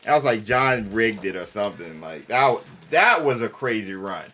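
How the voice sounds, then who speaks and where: A man's voice: lowish voice, expressive, normal speed, fairly bright tone. A man co-hosting a casual live stream, chatting playfully with viewers and often repeating his phrases. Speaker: co-host of a fan live stream.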